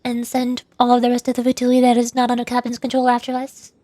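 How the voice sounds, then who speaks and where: High-voiced woman streamer, close dry sound. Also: completely flat delivery, fast, dark tone, no echo. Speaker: VTuber game streamer. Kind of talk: casual gameplay commentary.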